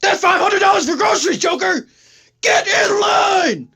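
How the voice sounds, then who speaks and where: A man's voice: very high-pitched, animated, moderate pace, gravelly, bright, forceful tone, raised voice, some chatter behind, exaggerated voice. A man voicing a harried, self-important superhero in a comedy parody, scolding and pleading in indignant exasperation.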